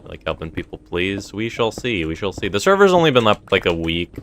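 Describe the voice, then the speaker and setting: A man's voice: low voice, sing-song, a little fast, a little hiss or hum. A young man chatting casually on a live stream, answering chat and rambling, his voice a little strained. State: voice feeling strained. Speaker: streamer running a game server.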